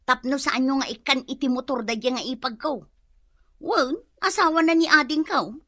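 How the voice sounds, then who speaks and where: Shrill, spiteful, bossy sister-in-law. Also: female voice, normal speed, fairly bright tone, dry recording, clean studio sound. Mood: bossy, insistent. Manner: pushy, scolding. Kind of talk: comedic radio drama acting.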